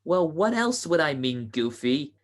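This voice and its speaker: Woman playing excitable, melodramatic young society girl. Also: low-pitched, a little slow, heavily acted. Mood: dramatic, scheming. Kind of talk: 1940s radio comedy acting.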